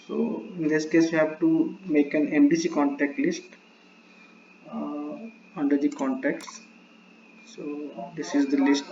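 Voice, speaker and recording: A man presenting a step-by-step tutorial in halting, short broken phrases on a lo-fi recording. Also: fairly high pitch, a little mellow. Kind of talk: screen-share tutorial, explaining step by step. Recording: dull lo-fi mic with faint noise.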